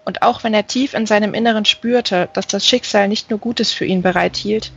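A woman reading literary narration as an audiobook, measured and careful. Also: mid-range voice, fairly even intonation, vocal fry, slightly rough, dull audio. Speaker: audiobook reader. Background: music.

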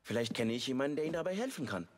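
A man playing a calm, courteous young ally in a Victorian video game, polite and measured in his wording. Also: mid-range voice, big-room sound. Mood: impressed. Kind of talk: German video game dub acting.

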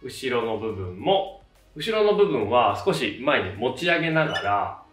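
A man in his thirties presents a practical how-to in a casual, polite explanatory way. His medium-pitched voice moves up and down in an animated fashion. It is recorded at a distance in a roomy, echoing space with faint music behind. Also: a little slow. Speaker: hairdresser giving a tutorial, channel host. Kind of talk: casual step-by-step instructional narration. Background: faint background music.

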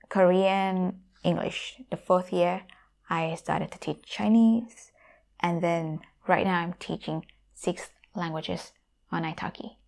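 A woman in her thirties with a Thai accent chats straight to camera from her room at home. Her voice sits low and has a gravelly, fry-tinged edge. She speaks through a clip-on mic in a friendly, direct way. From Thailand. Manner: friendly, direct address to viewers. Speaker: YouTuber and language teacher. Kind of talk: casual talk to camera. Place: home room with guitars on the wall. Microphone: lapel mic.

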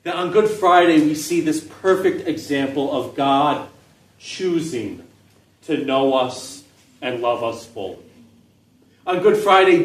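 A middle-aged man preaching a sermon in an open-air park pavilion, with measured delivery and phrases that trail off softly at the ends. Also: fairly high pitch, recorded from a distance. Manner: measured preaching with falling, soft phrase endings. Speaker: pastor. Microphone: stand mic, filmed from a distance. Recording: echoey pavilion, voice from off-mic.

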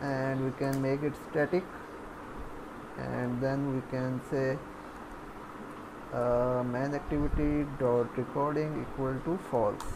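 A man giving a step-by-step screencast programming lesson in a halting way, as if thinking aloud while typing. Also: medium pitch, noisy background. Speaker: programming tutorial instructor. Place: voice-over recorded over screen capture.

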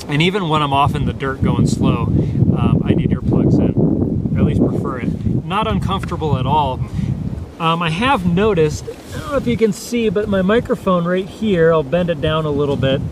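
A man in his thirties giving a casual, unscripted motorcycle gear review in a meandering, hesitant way, outdoors on a camera mic. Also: fairly high pitch. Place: outdoors in dry grass by parked motorcycles. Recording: noisy outdoor camera recording.

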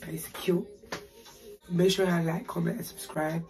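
A young woman with a deep, raspy voice, chatting playfully and slangily to camera in a small bedroom. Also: steady tone, moderate pace, a little mellow, faint music behind. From United Kingdom. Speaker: haul vlogger. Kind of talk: casual chat to camera.

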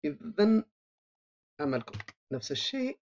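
A coding teacher talks through a screen recording, pausing often on long filler sounds. The pitch swings up and down a great deal. The voice comes through a dull, lo-fi microphone. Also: big pitch swings, a little mellow, a little hiss or hum. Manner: hesitant, frequent drawn-out filler sounds, thinking aloud while typing. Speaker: programming instructor. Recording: dull lo-fi voice-over mic.

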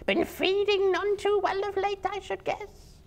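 A malicious storybook spider, screeches in an extremely high, hissing voice. It is hungry and sinister, stretching out its words with menace. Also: male voice, little pitch movement, unhurried. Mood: gleeful, scheming. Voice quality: hissing, shrill.

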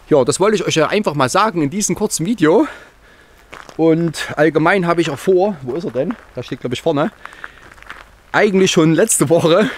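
A man in his forties with a slight cold, chatting casually and rambling cheerfully to a handheld camera outdoors on a rural yard. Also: medium pitch. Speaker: vlogger. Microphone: handheld camera at arm's length.

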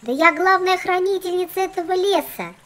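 A woman's voice: very high-pitched, a little fast, heavy background noise. A woman voicing a fussy, boastful little doll girl in a children's cartoon, theatrical and condescending. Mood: haughty.

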